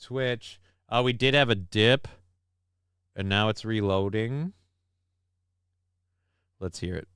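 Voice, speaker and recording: A man's voice: fairly low pitch, fairly bright tone, smooth, no room sound, studio quality. A man hosting a casual live stream from a home setup on a desk mic, joking crudely and reading viewer comments aloud. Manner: mock-grand war speech delivery. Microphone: desk microphone.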